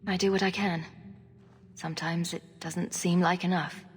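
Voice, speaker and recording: An actress playing a kind, quietly burdened heroine in a fantasy game, with a husky voice and gentle manner. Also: female voice, fairly low pitch, level tone, normal speed. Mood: wistful. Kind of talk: fantasy game cutscene acting. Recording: hall reverb, music behind.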